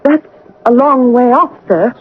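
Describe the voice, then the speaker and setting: An actress playing a prim, earnest young governess in an old-time radio drama, formal, restrained and hesitant, with guarded pride. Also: female voice, fairly high pitch, measured pace, husky. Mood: anxious, hurt. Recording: dull, band-limited old radio broadcast.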